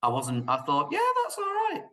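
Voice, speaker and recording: A man with a mid-range voice talks casually as a podcast panelist. He is animated and opinionated, joking as he goes and putting on mock quotes. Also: mid-range voice, moderate pace. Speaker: podcast panelist reviewing films. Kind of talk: casual chat, opinionated film commentary.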